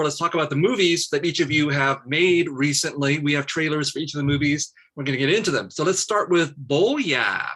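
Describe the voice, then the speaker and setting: A man hosting a relaxed online panel conversation, joking lightly and steering the talk. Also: fairly high pitch. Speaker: host. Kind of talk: casual conversation over video call. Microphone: video call.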